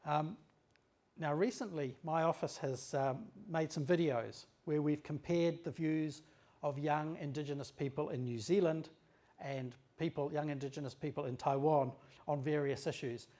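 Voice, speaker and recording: A man giving a formal lecture as a trade office director, speaking in a measured way with frequent pauses and fillers. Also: a little high, a little mellow.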